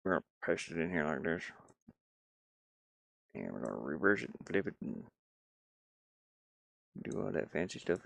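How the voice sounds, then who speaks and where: A man giving casual, halting live commentary as he works on game art, muttering and thinking aloud. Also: low-pitched, big pitch swings, creaky, a bit bright. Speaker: streamer and hobby game designer.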